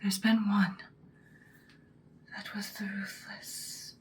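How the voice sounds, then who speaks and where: A woman playing a commanding female vampire mentor in a whispered ASMR roleplay, speaking softly, breathily and deliberately. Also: mid-range voice, level tone, lightly acted. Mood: ominous, musing. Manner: slow, deliberate, instructing, ominous. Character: ancient-seeming female vampire mentor, commanding, once ruthless, now good.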